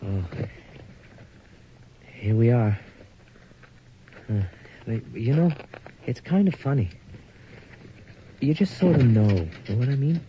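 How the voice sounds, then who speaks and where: A man's voice: medium pitch, sing-song, slow, pauses often, a little hiss or hum. A stammering, awkward young guy on a date. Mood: tender. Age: young adult. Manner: hesitant, stammering. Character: nervous, earnest young man smitten on a date. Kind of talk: 1980s radio drama acting. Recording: dull older radio recording.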